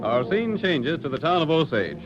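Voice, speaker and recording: A man's voice: on the high side, very animated, normal speed. A man as an American announcer giving dramatic scripted narration for an old-time radio Western. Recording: dull old radio recording. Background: music.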